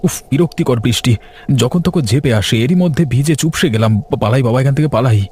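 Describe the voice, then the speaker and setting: A man's voice: mid-range voice, fast talker, a little mellow, husky, lightly acted. A man voicing a hapless, anxious farmer husband in a Bengali cartoon, given to moaning and pleading exclamations. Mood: annoyed.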